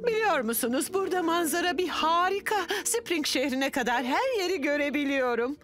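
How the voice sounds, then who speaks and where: Very high, lively cartoon van. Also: female voice, moderate pace. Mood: good-natured. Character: good-natured, chatty female minivan. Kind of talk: animated children's cartoon dubbing. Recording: music behind.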